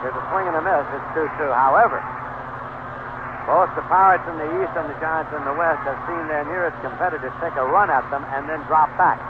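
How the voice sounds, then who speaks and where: An American man calling a baseball game live on the radio, in measured, clipped play calls with pauses. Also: on the high side, narrow, tinny audio. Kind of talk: live radio sports commentary. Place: stadium broadcast booth. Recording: noisy band-limited 1970s AM radio broadcast.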